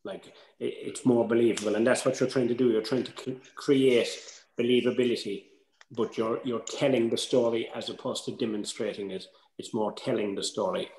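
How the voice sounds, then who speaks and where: A man's voice: medium pitch, steady tone, normal speed, a little mellow. An older man giving conversational, explanatory advice as a workshop co-presenter over a video call. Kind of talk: informal advice to writers. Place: indoors, room at home on video call. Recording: echoey room, slightly noisy video call.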